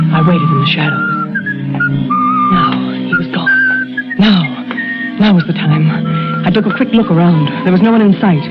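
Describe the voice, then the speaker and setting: An actress narrating as a scheming young woman stand-in in an old radio drama, in a clipped, measured, suspenseful way. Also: on the low side. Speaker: stand-in narrating her crime. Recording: dull old radio broadcast with music.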